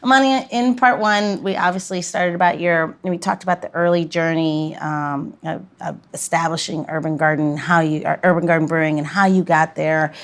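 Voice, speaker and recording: Playful woman podcast host, lowish voice. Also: steady tone, normal speed, hardly any pauses. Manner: playful, bantering. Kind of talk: casual podcast conversation.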